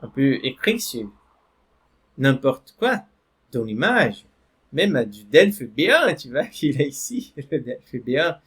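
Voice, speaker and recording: Male French teacher, mid-pitched, patient and slow, reads screen text aloud. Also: fairly bright tone, slightly rough. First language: Portuguese. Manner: slow, repeats "d'accord?" and "voilà" to check in. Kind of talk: online language lesson.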